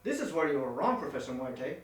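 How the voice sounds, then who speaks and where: A performer voicing an earnest masked male wrestler hero, deadpan and overly literal and polite. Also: medium pitch, quick pace, lightly acted. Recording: roomy echo, distant mic.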